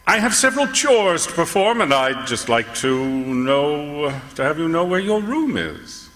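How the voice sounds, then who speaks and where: An actor playing a mock-polite, menacing mad scientist who hates humanity, in old-time radio horror style. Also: male voice, fairly high pitch, sing-song, unhurried, fairly bright tone, big-room sound. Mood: falsely polite. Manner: mock-polite, sinister, theatrical.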